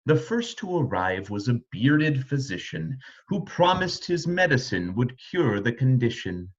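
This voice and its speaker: Male children's storyteller: slow, very lively intonation, rhythmic verse reading, slightly dull recording. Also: medium pitch. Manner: rhythmic, animated reading of rhyme. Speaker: storybook reader. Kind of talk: expressive scripted children's storytelling.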